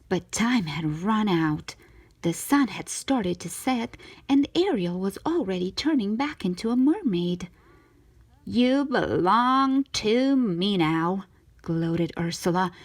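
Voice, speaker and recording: A woman narrating a children's storybook in an expressive read-aloud style, with a breathy voice. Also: medium pitch.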